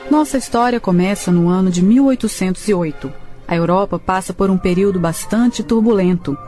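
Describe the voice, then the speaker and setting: A woman's voice: mid-range voice, fairly quick, mellow. A woman reading scripted storytelling narration for a radio drama. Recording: faint music behind.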